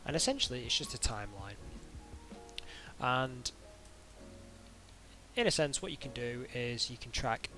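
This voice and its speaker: A man narrating an informal software tutorial in a hesitant, halting way, as if thinking aloud. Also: medium pitch, big pitch swings, soft background music. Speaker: tutorial instructor.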